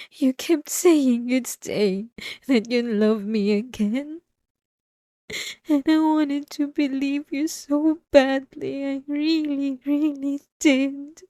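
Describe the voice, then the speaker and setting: Woman acting a high-pitched, tormented obsessive wife. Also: dark tone, no room sound, studio quality. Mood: regretful, pleading. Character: obsessive, possessive wife, remorseful, delusional and tormented. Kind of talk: intimate ASMR audio roleplay monologue. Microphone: close microphone, dry.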